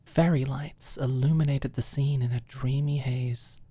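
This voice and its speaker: A woman's voice: rumbling low, fairly even intonation, a little slow, mellow, breathy edge, no echo. A woman reading a scripted story as an ASMR audiobook narrator.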